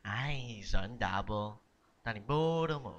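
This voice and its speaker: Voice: mid-range voice, lively intonation, slow pace, highs cut off. A performer of unclear sex playing a glum student who sighs over small everyday problems in an amateur radio skit, plaintive in manner. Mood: glum, complaining. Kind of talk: comic skit acting for a school radio drama.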